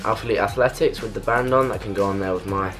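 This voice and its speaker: A man in his twenties with a British accent chats casually and haltingly to camera as a vlogger, trailing off at the ends of phrases. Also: on the low side, fairly bright tone, soft background music. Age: 20s. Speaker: vlogger unboxing a purchase. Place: small bedroom, later a bathroom. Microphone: camera a couple of metres away.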